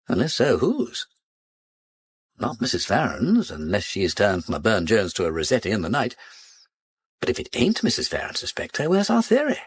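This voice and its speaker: An actor in a radio drama playing a genial, witty gentleman detective with an upper-class English accent, breezy and given to musing aloud. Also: on the high side, slightly rough, full character voice. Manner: breezy, whimsical, musing aloud, old-fashioned society slang.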